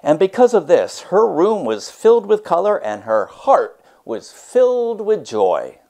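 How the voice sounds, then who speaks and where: A man teaching and reading a storybook aloud with deliberate, emphatic, expressive phrasing. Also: sits high.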